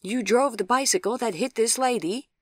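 A woman voicing an officious male policeman in a storybook, authoritative, loud and questioning. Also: on the low side, lively intonation, a little slow, no room sound, clean studio sound. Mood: inquiring, official. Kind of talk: audiobook character voice.

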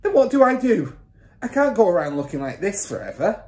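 A vain, blustering storybook father, played by a woman reader. He yells indignantly and gets flustered and panicky, his raised voice swooping up and down. The room sounds echoey. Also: medium pitch, big pitch swings, brisk, voice pushed up, close to an everyday voice. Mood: frantic bossy. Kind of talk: children's storybook character voice.

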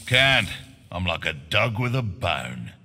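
An actor playing a grim, measured warrior trading lines before a video game fight. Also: male voice, sits low, big pitch swings, pushed and bright, heavily acted. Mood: menacing. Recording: hall-like reverb, clear background noise.

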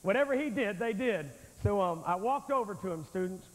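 A man in his forties preaching a revival sermon on a large church stage with a handheld microphone, telling a story in an animated, confrontational way. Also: high-pitched, fairly quick. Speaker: evangelist preacher. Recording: long hall reverb, old VHS tape.

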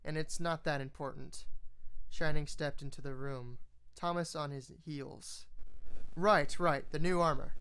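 Slow, smooth-voiced story narrator. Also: mid-range voice. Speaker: fan fiction reader. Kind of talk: scripted story narration, audiobook-like reading.

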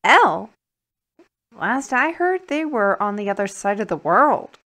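A woman's voice: medium pitch, big pitch swings, measured pace, fairly bright tone. A woman playing a bodyguard's helpful ally in an audio roleplay. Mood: alert, questioning.

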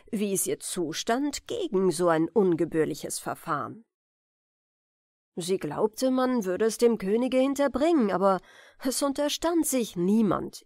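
A woman narrator giving a measured, careful, expressive reading of a fairy tale as a bedtime audiobook. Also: medium pitch, no room sound, studio quality.

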